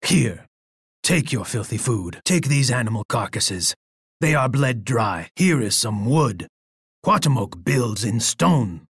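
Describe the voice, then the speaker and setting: A proud Aztec warlord for a strategy video game. His voice is husky and dry, and he delivers his lines slowly. He is imperious and boastful, with a bloodthirsty edge. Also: medium pitch, no echo. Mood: haughty generosity. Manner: imperious, boastful, speaking of himself in third person.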